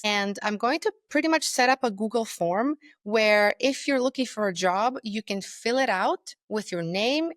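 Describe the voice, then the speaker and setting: A young woman from Bulgaria, an interview guest telling her story in an animated, self-deprecating way. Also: on the high side, no room sound, studio quality.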